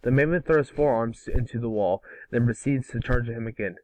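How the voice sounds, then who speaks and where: A man's voice: mid-range voice, brisk, a little mellow, a little hiss or hum. Male script narrator: mid-range voice, table-read delivery, distant mic. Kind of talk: scripted narration of stage directions. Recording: distant microphone.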